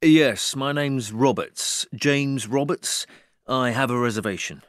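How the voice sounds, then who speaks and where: A man's voice: medium pitch, takes it slowly, fairly bright tone, dry recording, clean studio sound. A British man voicing a hotel guest checking in, in a language-course dialogue, plain and matter-of-fact.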